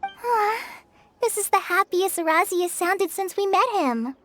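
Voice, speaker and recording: A woman voicing a small, chirpy female companion sprite in a game, excitable and speaking of herself by name. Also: extremely high pitch, a little slow, faint music behind. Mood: touched. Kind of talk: game voice acting.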